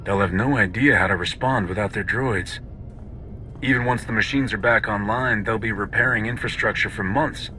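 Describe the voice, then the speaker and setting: A forceful, low-voiced rebel commander. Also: male voice, normal speed, slight background noise. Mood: grim resolve. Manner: firm, self-assured, matter-of-fact. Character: hard-bitten male rebel commander, resolute and pragmatic. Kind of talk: video game cutscene acting.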